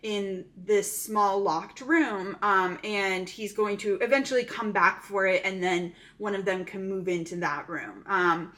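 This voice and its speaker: A woman's voice: mid-range voice, measured pace, few pauses, bright, forceful tone. A woman casually recapping a thriller movie plot, chatty and full of "um" fillers.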